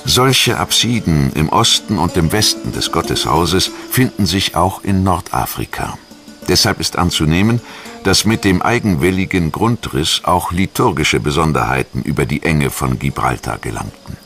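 A man reading scripted documentary narration in German in a measured, factual manner. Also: sits low, lively intonation, gravelly, a bit bright, soft background music. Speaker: documentary narrator and voice-over translator.

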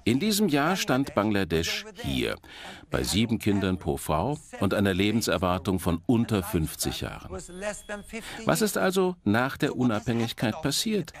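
Man, low voice, very lively intonation, emphatic explainer, documentary lecture, crowd sounds behind. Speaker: presenter lecturing with statistics. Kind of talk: documentary lecture voice-over in German dub.

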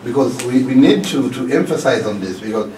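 A man's voice: medium pitch, animated, brisk, a little mellow. East African male host, roomy sound. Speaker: radio host, interviewer. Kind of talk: casual radio interview. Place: small radio studio. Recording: roomy, distant, faint room noise.

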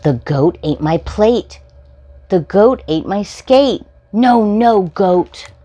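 A woman in her forties reading a children's picture book aloud as a storytime host, in simple, deliberately spaced phrases for preschoolers. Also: medium pitch, animated, dark tone. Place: small furnished room at home, indoors. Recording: home recording with faint background noise.

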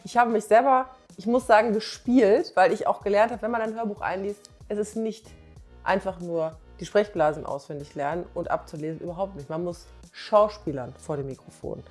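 A woman's voice: medium pitch, brisk, a little mellow, soft background music. A woman in her forties chatting casually and emphatically to camera, full of enthusiasm, in colloquial language. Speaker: doctor and author presenting her comic. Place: small living room on a sofa, indoors. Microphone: clip-on lapel mic.

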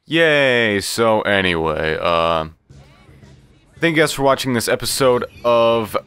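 A man's voice: medium pitch, very animated, slow pace, a bit bright, smooth. A man giving casual, flippant gameplay commentary, joking and boasting with easy swearing. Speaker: gaming video host.

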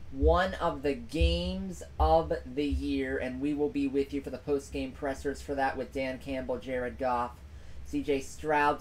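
A man's voice: mid-range voice, a little mellow, slight background noise. A young man hosting a solo sports stream from a small room at home, recapping the day's football games with emphatic repetition into a desk mic. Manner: emphatic, repeats phrases for effect.

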